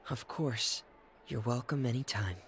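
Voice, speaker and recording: A man's voice: medium pitch, measured pace, a bit bright, slight background noise. An actor voicing an earnest young male stage magician in a game's dialogue. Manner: earnest, heartfelt game dialogue.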